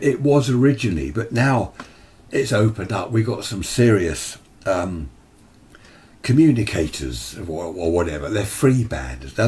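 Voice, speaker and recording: A man's voice: deep voice, darkish tone. A British man giving an informal, opinionated explainer in a conversational way, as a radio hobby presenter. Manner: opinionated, conversational, mimics imagined replies. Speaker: amateur radio video presenter.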